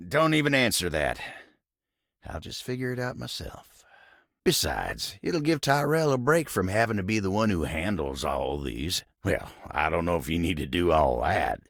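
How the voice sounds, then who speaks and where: A man voicing a humble, hardworking living table in a video game, with a gravelly, husky Southern American drawl and a folksy manner. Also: low-pitched, big pitch swings, normal speed, a bit bright, no room sound, studio quality, exaggerated voice. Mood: modest, polite. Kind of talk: video game character voice acting.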